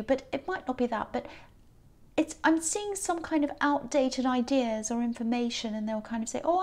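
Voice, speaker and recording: Tired croaky-voiced woman, highish, chatty tarot reading, lots of ums. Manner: chatty and gentle, many ums and hedges. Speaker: tarot reader. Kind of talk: casual tarot reading to camera.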